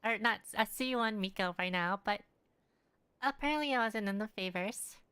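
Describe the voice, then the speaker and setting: A woman's voice: mid-range voice, normal speed, bright, forceful tone, clear, resonant tone, no room sound. A young woman live streaming a game from her room, chatting offhandedly and rambling as she answers viewers. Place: room at home.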